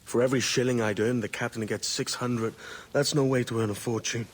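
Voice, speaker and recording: An actor playing a brash, roguish young pirate sailor with a cocky, plain-spoken way of talking in a video game cutscene. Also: male voice, mid-range voice, fairly even intonation, a little fast, close to an everyday voice. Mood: earnest, frustrated.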